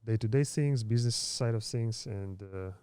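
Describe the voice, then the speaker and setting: Low mellow-voiced man in his thirties. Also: smooth, no echo, clean studio sound. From Kazakhstan. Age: 30s. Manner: halting, many fillers and restarts. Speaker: podcast guest. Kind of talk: casual interview storytelling.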